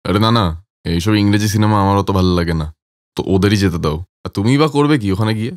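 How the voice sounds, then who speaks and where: A man's voice: lowish voice, a little fast, no room sound, studio quality, close to an everyday voice. A man playing an earnest, protective married family man in a cartoon story, with a conversational manner. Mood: easygoing.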